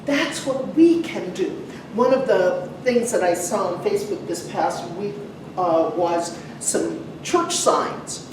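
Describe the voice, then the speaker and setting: A woman preaching a sermon to a congregation in a conversational way, putting questions to her listeners and pausing for emphasis. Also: mid-range voice, sing-song. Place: large echoey church room. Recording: very echoey, distant mic, some noise.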